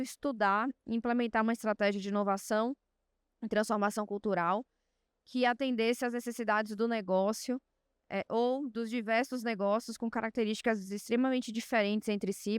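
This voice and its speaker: A woman's voice: on the high side, clear, resonant tone, no echo, studio quality. A woman from Salvador with a Bahian accent, speaking as a panelist and telling her career story fluently and confidently. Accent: Bahian Portuguese. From Salvador, Bahia, Brazil. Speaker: panelist, innovation executive. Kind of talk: panel talk.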